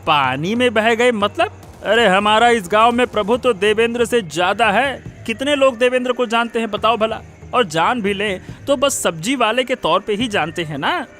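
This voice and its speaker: A man voicing a smug, scheming village villain in an animated moral story, boasting and gloating. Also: sits high, moderate pace, faint music behind.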